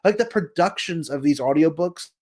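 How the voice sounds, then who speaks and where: Guy on a podcast, kinda higher pitched voice, really effusive casual chat, stresses his points. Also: normal speed, a little mellow. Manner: effusive, emphatic. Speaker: podcast co-host.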